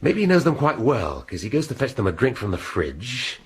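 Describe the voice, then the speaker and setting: A man's voice: medium pitch, very animated, moderate pace, husky. An actor playing a dry, sardonic middle-aged police inspector in a radio drama, thinking his case through aloud. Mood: musing. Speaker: police detective.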